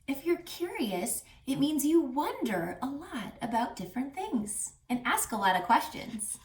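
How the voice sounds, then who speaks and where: A woman reading aloud to children as a reading teacher, expressive and teacherly, explaining and asking questions. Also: sits high, a little slow, a little hiss or hum. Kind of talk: children's read-aloud with questions to young listeners. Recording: echoey room, distant mic, music.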